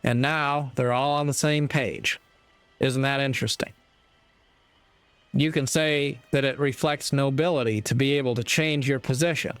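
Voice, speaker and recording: A man giving a reflective, sermon-like monologue in a deliberate, measured way, pausing between short phrases. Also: mid-range voice, steady tone, a bit bright, clear, resonant tone. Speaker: commentator giving a moral talk.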